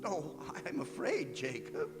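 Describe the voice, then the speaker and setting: A man's voice: a little high, wildly swooping pitch, a little slow, in a large hall, faint music behind. A man playing a stingy, frightened old miser in a radio drama, stammering and theatrical as he shifts between bluster and pleading. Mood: nervous, wheedling. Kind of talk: stage radio drama acting.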